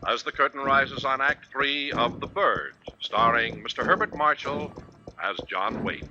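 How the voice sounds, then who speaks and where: A man's voice: mid-range voice, measured pace. A man announcing a radio drama in scripted 1950s broadcast style. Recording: dull 1950s radio broadcast, music underneath.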